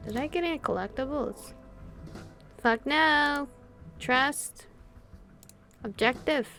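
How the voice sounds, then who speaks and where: A woman's voice: high voice, slow pace, pushed and bright, faint music behind. A woman giving blunt, sarcastic, sweary casual commentary as she plays a game. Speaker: gaming YouTuber. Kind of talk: casual let's play commentary.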